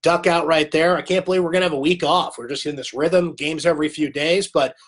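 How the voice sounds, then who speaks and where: A man hosting a late-night live sports recap in a fairly high voice, chatty and opinionated. His voice is worn by a cold, and he talks straight into a webcam. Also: steady tone, fairly quick. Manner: chatty, opinionated, reads viewer comments aloud. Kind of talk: casual live-stream sports talk.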